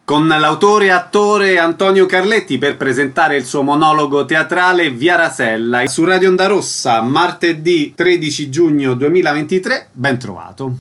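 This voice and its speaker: A man's voice: a little high, moderate pace, hardly any pauses, fairly bright tone. A man hosting a radio interview, introducing his guest and asking plain, direct questions. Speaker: radio host.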